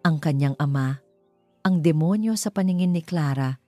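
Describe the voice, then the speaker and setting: A woman's voice: deep voice, normal speed, warm tone. A woman narrating a scripted dramatic love story, reading as a storyteller. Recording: music bed under the voice. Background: background music.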